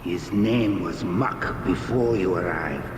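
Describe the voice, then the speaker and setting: A menacing priest in cavernous echo. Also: male voice, deep voice, slow pace. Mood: cold contempt. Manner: pompous, menacing. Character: cruel, contemptuous male Anubis priest, zealous villain. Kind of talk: dramatic video game acting. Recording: cavernous long echo, clear noise.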